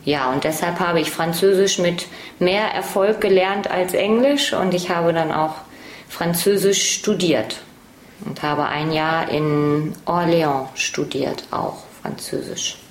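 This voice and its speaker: Slow, lowish-voiced woman in her thirties. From Germany. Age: 30s. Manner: relaxed, with hesitant fillers. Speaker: French teacher. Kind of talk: personal monologue for a listening exercise. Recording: roomy echo, faint background noise.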